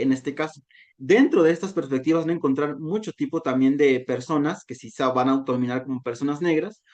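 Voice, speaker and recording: A man's voice: medium pitch, darkish tone, lo-fi sound. A man from Mexico with a Mexican accent and Nahuatl as his first language, giving a reflective online lecture. He keeps tagging his sentences with "¿no?". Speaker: volunteer talk facilitator.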